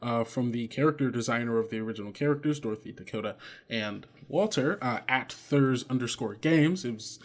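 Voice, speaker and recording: A man's voice: medium pitch, fairly quick. Easygoing male game master. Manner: relaxed, frequent fillers, earnest. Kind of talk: narrating a tabletop game and reading podcast announcements.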